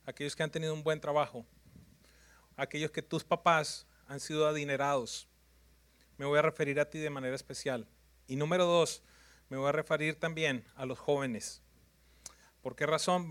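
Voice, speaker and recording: A man's voice: a little high, a bit bright. A man preaching a sermon in numbered points, emphatic and repetitive, often putting questions to his congregation.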